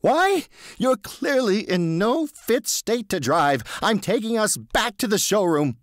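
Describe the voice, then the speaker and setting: A man's voice: big pitch swings, normal speed, dry recording, studio quality. A man doing a panicky timid salesman. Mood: panicked. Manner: pleading, high and strained, rising to yells. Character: timid, rule-bound male car salesman, panicky.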